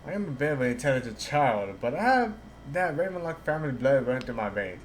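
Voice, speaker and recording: A man's voice: mid-range voice, sing-song, fast, slight background noise. A man streaming a video game, casually reading dialogue aloud and commenting, sometimes stumbling over the lines.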